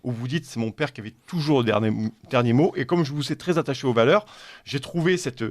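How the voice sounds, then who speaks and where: A man's voice: mid-range voice, fast talker. Man asking long, winding questions. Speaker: radio interviewer. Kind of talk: radio interview question.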